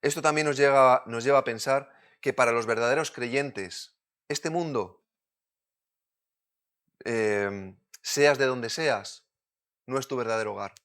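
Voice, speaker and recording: A man from Madrid with a Madrid Spanish accent, preaching a sermon, moving between loud urgent points and quiet, close asides. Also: mid-range voice, a little slow, long pauses, clear, resonant tone. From Spain.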